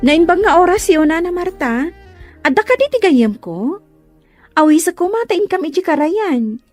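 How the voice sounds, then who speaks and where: Polite young woman, acted by a woman, very high voice, lively intonation, nervous, comic radio drama. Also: normal speed, soft background music. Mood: polite, eager. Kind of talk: comedy radio drama acting in Ilocano.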